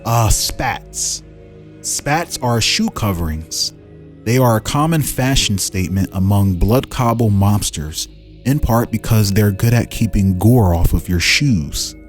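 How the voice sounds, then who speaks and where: A man's voice: on the low side, expressive, a little slow. A man hosting in a casual style, reading game lore aloud and breaking off into musing, informal asides. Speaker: host presenting fan-made game lore. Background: music.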